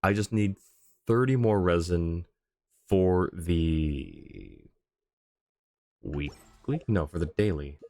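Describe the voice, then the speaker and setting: A man's voice: deep voice, animated, takes it slowly, vocal fry, pauses often, mellow, no echo. A man livestreaming a game, chatting casually and thinking aloud in an easygoing way.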